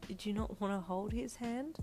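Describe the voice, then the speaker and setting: A woman voicing a gentle, doting father who speaks softly and teases kindly. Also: mid-range voice, normal speed, faint music behind, pitched above the performer's own voice, lightly acted. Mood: tender. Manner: soft, tender, teasing.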